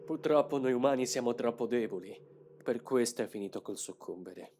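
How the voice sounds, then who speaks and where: A man's voice: mid-range voice, a little fast, darkish tone, a little hiss or hum, lightly acted. A man voicing a grave, determined young man in a motion comic dub, with a solemn, explanatory delivery. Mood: somber. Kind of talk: dramatic motion comic dubbing. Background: music.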